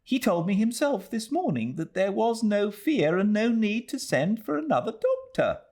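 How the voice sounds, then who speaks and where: A fragile young Victorian lady played by a man in an audiobook, pitched far above his natural voice. She is anxious and despairing, pleading plaintively as if close to giving up. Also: very animated, normal speed, a little mellow, full character voice. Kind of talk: classic novel audiobook character voice.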